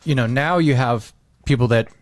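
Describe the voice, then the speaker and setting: A man's voice: medium pitch, normal speed, no room sound. A man talking casually and agreeably as a podcast speaker. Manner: agreeable, informal. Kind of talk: casual chat.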